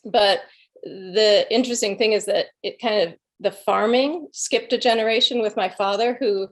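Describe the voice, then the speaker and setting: A woman's voice: medium pitch, normal speed. A middle-aged woman, a guest speaker telling rambling, anecdotal stories and chuckling between phrases. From Illinois, USA. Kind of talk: conversational storytelling.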